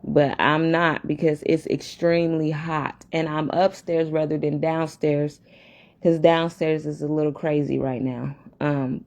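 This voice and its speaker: A woman talks to her camera in a loose, chatty way, speaking right to her viewers as if they were friends in the room. Her voice sits a little low. She records in an upstairs room at home with a fan running. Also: darkish tone. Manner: chatty, addresses viewers directly and often. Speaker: vlogger. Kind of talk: casual talk to camera.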